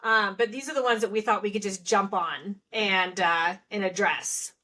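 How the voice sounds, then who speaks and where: A woman's voice: medium pitch, moderate pace, sharp tone. A woman co-hosting a podcast in a casual, chatty style, with an upbeat, effusive manner. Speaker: podcast co-host.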